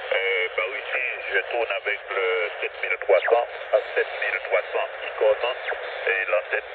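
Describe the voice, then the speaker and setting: A man from Guadeloupe heard through a radio transceiver, chatting as an amateur operator with hesitant, repetitive jargon. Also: on the low side. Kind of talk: casual radio contact. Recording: narrow, very noisy distant shortwave radio reception.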